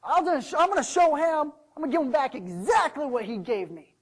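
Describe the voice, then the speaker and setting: A man playing a bitter, indignant servant who rants loudly and repeats his grievances in a storytelling sermon. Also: extremely high pitch, big pitch swings, fairly quick, vocal fry, slightly rough, heavily acted. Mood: furious ranting. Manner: loud ranting, aggrieved, repeats himself. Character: bitter, indignant male servant digging a hole.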